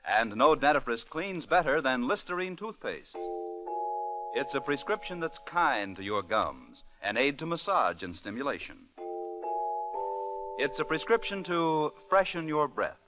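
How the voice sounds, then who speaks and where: Animated male 1940s radio pitchman. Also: a little slow. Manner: emphatic, formal sales pitch. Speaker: radio commercial announcer. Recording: old 1940s radio recording with music.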